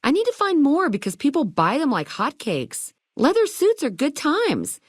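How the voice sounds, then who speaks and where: A high-pitched, bubbly young shopkeeper from a video game. Her delivery is sassy and theatrical, swinging widely in pitch with retro slang, and the recording is close and dry. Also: huge pitch swings, normal speed, dry recording. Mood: bubbly, excited.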